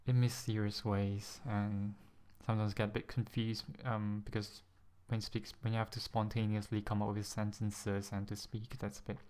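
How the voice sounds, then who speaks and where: Young singaporean guy vlogging, lowish flat voice, lots of ums, kinda nervous, careful with his words. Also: clean tone. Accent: Singaporean-influenced English, carefully pronounced. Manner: hesitant, many ums, a little nervous; careful, deliberate pronunciation. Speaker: ASMR channel host. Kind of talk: casual vlog talk to camera. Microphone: USB desk microphone.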